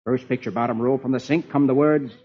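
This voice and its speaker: A man's voice: medium pitch, steady tone, fairly quick, a little hiss or hum, close to an everyday voice. A man playing a genial reader of comic strips to children, with theatrical 1940s radio storytelling delivery. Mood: dramatic narrating. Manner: theatrical, dramatic. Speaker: host reading the comics. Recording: dull old radio recording with music.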